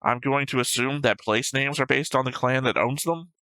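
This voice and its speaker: A man's voice: mid-range voice, steady tone, normal speed, bright, forceful tone, smooth, no echo. A man in a casual discussion, arguing his points and swearing now and then as he analyses a show. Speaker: host of an anime reaction show.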